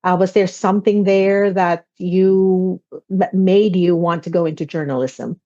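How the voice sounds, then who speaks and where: A woman's voice: lowish voice, steady tone, a little slow, mellow, muffled recording. A woman hosting an interview podcast, introducing her guest and reacting with enthusiasm. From immigrant. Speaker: podcast host.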